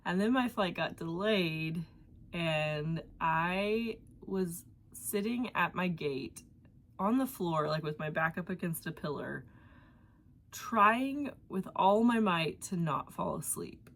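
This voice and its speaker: A woman's voice: fairly low pitch, lively intonation, slow, vocal fry, a bit bright. A young woman hosting a knitting podcast from her home, chatting casually in a rambling way with fillers and a somewhat congested voice. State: congested from allergies. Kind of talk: casual chat.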